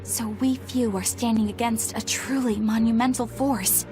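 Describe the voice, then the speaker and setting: A woman's voice: on the high side, steady tone, moderate pace, music in the background, close to an everyday voice. An actress voicing an earnest, determined young exorcist woman in a dramatic video game, speaking formally and resolutely. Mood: solemn, determined. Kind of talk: dramatic English game voice acting.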